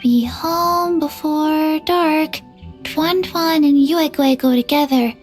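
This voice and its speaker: A woman voicing a sluggish, forgetful little girl in a video game, halting and blank, with soft music behind. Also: high voice. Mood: solemn, reciting. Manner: halting, flat, drowsy.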